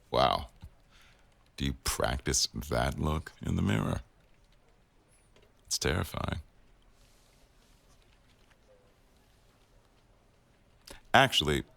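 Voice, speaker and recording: A man playing a teasing, bossy but doting newsroom boss in an intimate boyfriend roleplay audio, with a wry, unhurried delivery. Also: a bass voice, gravelly, a bit bright, full character voice. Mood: teasing, stern.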